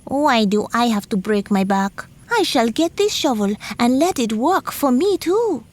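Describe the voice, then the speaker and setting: Woman as storybook spider: sneaky, lazy, smug schemer, raised animated pitch. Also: unhurried. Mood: scheming. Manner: self-satisfied, scheming.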